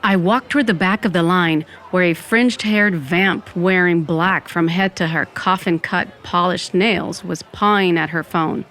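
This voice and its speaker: Woman acting a wry undead goth narrator, lowish voice. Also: measured pace, few pauses, fairly bright tone. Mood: wry storytelling. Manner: wry, dramatic storytelling. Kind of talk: first-person comic audio fiction narration.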